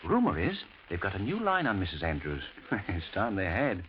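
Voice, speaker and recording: Through a muffled, faintly noisy vintage broadcast comes a chatty English gentleman. His British-accented delivery is animated and polite, with a gossipy ease typical of a radio play neighbor. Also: low-pitched, normal speed. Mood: confiding, gossipy. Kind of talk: 1940s radio acting.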